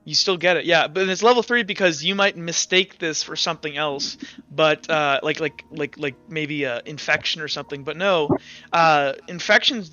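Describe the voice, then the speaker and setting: A man narrating a tabletop game as game master, improvising with halting, backtracking explanations. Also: fairly high pitch, bright, forceful tone. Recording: slightly dull audio with music.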